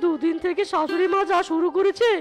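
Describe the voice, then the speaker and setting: An extremely high, squeaky cartoon fox, a younger brother who grumbles and whines. Music plays clearly behind the comic complaints. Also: female voice, steady tone, brisk. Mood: grumbling. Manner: complaining, whiny.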